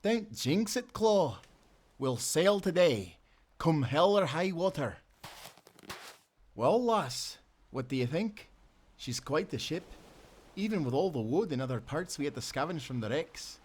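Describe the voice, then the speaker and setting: A man's voice: on the high side, sing-song, unhurried, pauses often, slight background noise. A man playing a hearty, loyal sailor in an audio adventure, with an earnest, folksy nautical way of talking. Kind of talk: audio roleplay acting.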